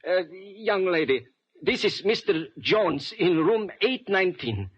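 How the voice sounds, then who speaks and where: An actor playing a frightened, formal professor, speaking haltingly in an old radio drama. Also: male voice, high-pitched, lively intonation, a little hiss or hum. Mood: nervous, polite. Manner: halting, formal, urgent speech. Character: frightened foreign professor in his sixties, defecting, formal. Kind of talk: 1950s radio drama acting. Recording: muffled old radio recording.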